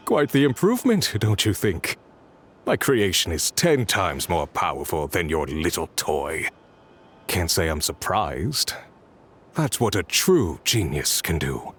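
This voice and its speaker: An actor playing a smug, resentful thieving inventor in a video game, pompous and gloating in a theatrical way. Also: male voice, low-pitched, sing-song, slow, breathy edge, full character voice. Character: smug resentful male villain, thieving self-styled genius inventor. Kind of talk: scripted video game dialogue.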